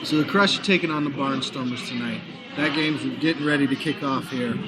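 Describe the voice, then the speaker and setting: Hoarse man hosting sports talk. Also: medium pitch, a little fast. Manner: chatty, promotional, frequent fillers. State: hoarse, recovering lost voice. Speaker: sports talk show host. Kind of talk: live sports talk broadcast. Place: back room of a busy sports bar. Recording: noisy bar crowd behind. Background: crowd chatter.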